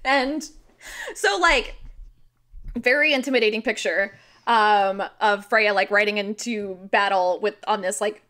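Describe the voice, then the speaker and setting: A woman with an American accent and a very bright, forward voice. She talks informally, like a podcast guest telling stories among friends, with loose phrasing and plain everyday words. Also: medium pitch, a little slow. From rural Missouri, United States. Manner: informal, animated, frequent "like", sweary. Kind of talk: casual conversational storytelling and explaining.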